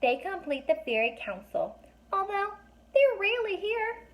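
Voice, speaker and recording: A woman's voice: very high voice, very animated, normal speed, pitched above the performer's own voice, exaggerated voice. A woman voicing a small female fairy from a storybook, explaining things in a light, polite manner.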